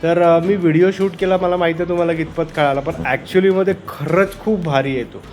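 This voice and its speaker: A man's voice: on the high side, steady tone, fast, darkish tone, soft background music. A man narrating a travel vlog in a casual, chatty and explanatory way. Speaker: travel vlogger.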